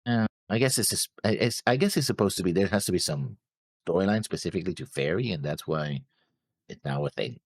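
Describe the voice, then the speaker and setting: A man's voice: deep voice, expressive, brisk, no echo, clean studio sound. A man co-hosting a casual discussion show, rambling through his notes and acting out imagined remarks. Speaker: reaction show co-host.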